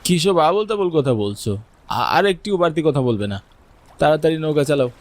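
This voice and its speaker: A man's voice: fairly high pitch, lively intonation, a little fast. Man acting a worried son telling an eerie tale. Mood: insistent. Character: worried city-working son narrating his eerie journey home. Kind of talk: dramatic first-person horror cartoon narration. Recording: music behind the voice.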